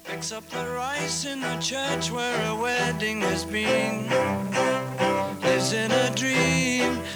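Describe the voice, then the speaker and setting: A woman's voice: on the high side, steady tone, slow. A woman singing a pop ballad, with bowed strings playing behind her. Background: loud bowed string music.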